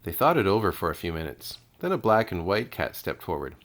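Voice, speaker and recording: A man's voice: sits low, very animated, brisk, fairly bright tone. A man reading children's storybooks aloud expressively, like a kindergarten teacher.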